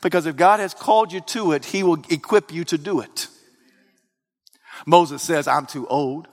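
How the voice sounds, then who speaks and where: A man preaching a sermon, swinging from loud excited outbursts to low hushed lines. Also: moderate pace. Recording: long reverb of a large hall.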